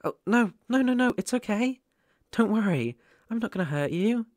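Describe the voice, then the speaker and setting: A British man playing a gentle, protective lone werewolf in an ASMR roleplay, speaking haltingly and reassuringly into a close microphone. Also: high voice, lively intonation, no room sound. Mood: flustered, reassuring. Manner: soft-hearted, reassuring, halting and pausing. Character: gentle, protective male lone werewolf living in a forest cabin.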